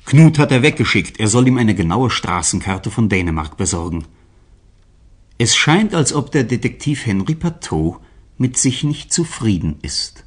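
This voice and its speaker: Male radio-play narrator: deep-leaning, rough-edged voice, expressive scripted storytelling. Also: normal speed.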